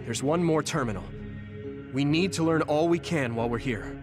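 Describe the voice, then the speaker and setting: An earnest young soldier, voiced by a man in a video game. He sounds thoughtful, with a fairly high male voice. Music plays clearly behind him. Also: moderate pace, close to an everyday voice. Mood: earnest, pondering. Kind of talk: scripted video game voice acting.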